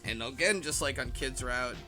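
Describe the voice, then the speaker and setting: A man with an average-pitched voice recaps a story in a loose, joking way, swearing and stumbling over his words. Game music plays clearly behind him. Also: moderate pace, pushed and bright. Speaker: podcast host. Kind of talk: casual chat recapping a visual novel.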